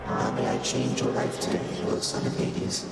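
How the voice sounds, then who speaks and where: A man voicing an ancient, enigmatic primordial game deity, gravelly and formally cryptic in manner. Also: medium pitch, normal speed, very noisy, close to an everyday voice. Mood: enigmatic, musing. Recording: echoing, loud music behind.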